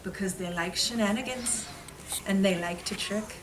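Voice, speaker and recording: A woman's voice: mid-range voice, normal speed. A woman telling stories to young children at an elementary school, explaining in simple, repeated phrases with dramatic emphasis. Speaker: elementary school read-aloud storyteller. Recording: distant mic, noisy room.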